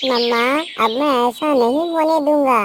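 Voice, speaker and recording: Woman as a high-voiced, determined little boy bird. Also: moderate pace, lightly acted. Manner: earnest, determined. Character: brave, protective young son bird. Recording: faint background noise with birdsong.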